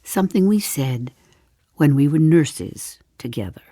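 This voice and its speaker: Wry elderly woman confiding, with animated pitch. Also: dry recording. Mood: confiding, ominous. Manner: deliberate, confiding, with dry understatement. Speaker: actor in a one-woman play. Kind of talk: theatrical audio drama monologue.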